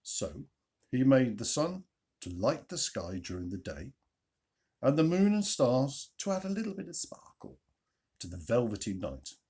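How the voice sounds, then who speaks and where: A man tells a children's story in a husky voice with very lively intonation. He leans on key words with dramatic pauses and turns to put questions to his young listeners. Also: medium pitch. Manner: expressive, dramatic emphasis and pauses. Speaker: storyteller, reader.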